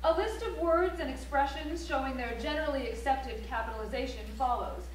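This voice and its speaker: A woman's voice: high-pitched, a little fast, natural voice. A woman playing a prim, didactic reciter of rules, delivering them precisely like a manual on stage. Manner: precise, instructive, list-like. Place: library auditorium stage. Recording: echoey auditorium, distant mic, faint hum.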